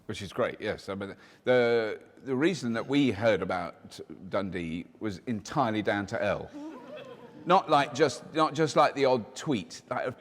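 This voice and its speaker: A man's voice: lowish voice, expressive, normal speed, a little hiss or hum. Enthusiastic man hosting in a big hall. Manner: enthusiastic, jokey, with self-interrupting restarts. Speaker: host. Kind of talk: live panel hosting. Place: large hall with an audience. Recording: long hall reverb.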